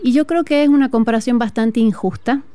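A woman from northern Argentina with an Argentine accent, a music teacher speaking earnestly and reflectively in an interview, with frequent "eh" pauses. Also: fairly high pitch, mellow, dry recording. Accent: Argentine Spanish. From Tucumán, northern Argentina. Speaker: music teacher and flutist, guest. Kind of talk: conversational interview. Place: outdoor school courtyard.

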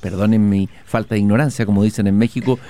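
A man hosting a relaxed interview while walking outdoors in a garden, speaking in a reflective, measured way with hesitations. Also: on the low side. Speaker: host.

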